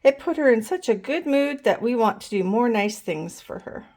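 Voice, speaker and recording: A woman's voice: on the high side, lively intonation, moderate pace, a little mellow, close to an everyday voice. A woman voicing eager, proud little kindergarten girls in a storybook, telling things simply as at show-and-tell.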